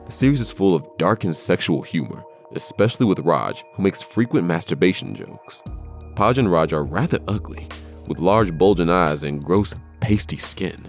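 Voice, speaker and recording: A man's voice: deep voice, animated, moderate pace, vocal fry, soft background music. A man reading scripted narration for a countdown video.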